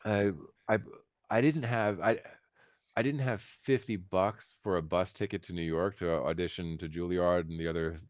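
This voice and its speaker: An American man telling his story casually as an interview guest, halting and repeating words with emphatic asides. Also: low-pitched, fairly even intonation, no echo, studio quality. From United States, Philadelphia. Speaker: interview guest, concert pianist.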